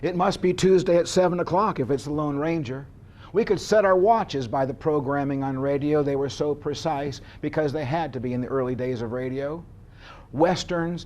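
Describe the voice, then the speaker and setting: An American man giving an informal history lecture, explaining deliberately like a storyteller. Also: fairly high pitch, a little mellow. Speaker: history instructor.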